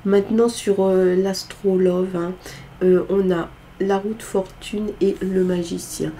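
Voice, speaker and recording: A woman's voice: mid-range voice, flat delivery, slow pace, dark tone, slight background noise. A woman with a cold reading tarot cards in a casual, hesitant way, with lots of filler sounds. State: has a cold, congested voice. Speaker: tarot card reader.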